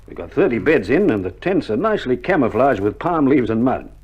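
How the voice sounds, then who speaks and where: A man's voice: medium pitch, big pitch swings, fairly quick, darkish tone, slightly rough. An actor playing a wry, reassuring Australian army male nurse in a wartime radio drama, with laconic, joking banter, heard on an old vinyl record. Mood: soothing, wry. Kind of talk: 1960s radio drama acting. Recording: old vinyl recording, dull band, faint noise.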